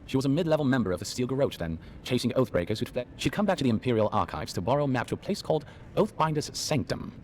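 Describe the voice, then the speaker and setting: An actor playing a learned, earnest archivist who explains lore precisely in a fantasy video game. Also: male voice, mid-range voice, very animated, fast, a little mellow, a little hiss or hum, lightly acted. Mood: reflective. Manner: bookish, precise. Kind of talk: video game dialogue, expository.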